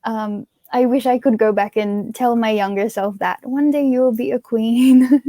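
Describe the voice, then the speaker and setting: A woman's voice: on the high side, fairly even intonation, measured pace, mellow, dry recording. Give me a young woman from Australia, a pageant titleholder answering interview questions in a chatty, earnest way with lots of fillers.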